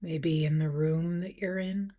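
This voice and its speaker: Woman doing a guided meditation, really slow and gentle, lowish voice, soft and a bit breathy. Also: steady tone. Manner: gentle, unhurried, with long pauses. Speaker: meditation teacher.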